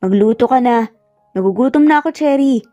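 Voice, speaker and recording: A woman's voice: fairly high pitch, brisk, warm tone, close to an everyday voice. A Filipino-accented woman voicing a teasing, cocky young husband in a romance story. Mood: smug, playful. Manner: smug, teasing. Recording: slightly muffled, music bed underneath.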